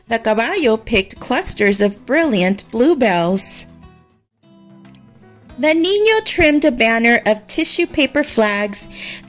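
Lady reading a kids picture book out loud, high voice, takes it slow, bit of music behind. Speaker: storybook read-aloud narrator. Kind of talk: expressive scripted children's story narration.

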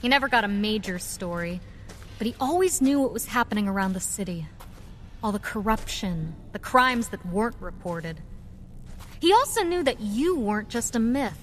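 An actress playing a spirited, curious young nurse and vigilante in an audio drama, with a wry, frank manner. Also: female voice, medium pitch, expressive, normal speed, a little hiss or hum, close to an everyday voice. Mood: earnest, reminiscing.

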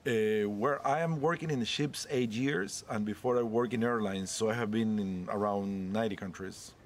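A man from Peru, in his forties, gives a rambling, friendly street interview. His voice sits a little low, and there is faint outdoor ambience behind him. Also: moderate pace, a little hiss or hum. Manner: chatty, rambling, non-native English. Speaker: interviewee, cruise ship photographer. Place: leafy garden courtyard outdoors.

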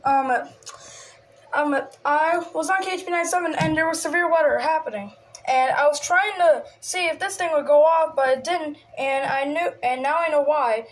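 A woman casually showing off a hobby collection, halting and trailing off, recorded on a handheld phone indoors. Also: high voice. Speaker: video host showing a personal radio collection. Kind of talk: casual hobby show-and-tell. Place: small cluttered room indoors. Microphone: handheld phone camera. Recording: clicky, noisy handheld home recording.

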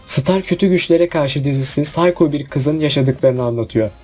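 Guy doing scripted countdown video narration, mellow slightly high voice, music behind. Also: normal speed. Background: background music.

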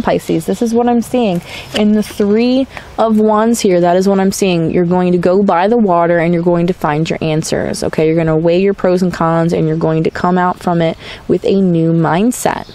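A woman giving a tarot reading outdoors in a forest, talking in a reassuring, drawn-out way and often checking in with "okay?". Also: on the low side, dark tone, slight background noise. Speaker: tarot reader.

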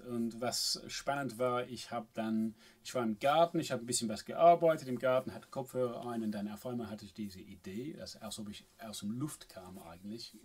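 A man in his forties from England with an English accent, chatting as a guest musician in a small home studio and searching for words in a second language. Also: mid-range voice. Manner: halting, searching for German words. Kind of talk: casual chat.

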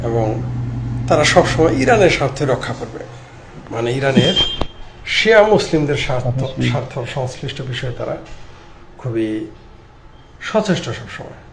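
Middle-aged Bangladeshi man, noisy recording. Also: medium pitch. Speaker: political commentator. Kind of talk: informal political commentary to camera. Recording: clearly noisy background ambience.

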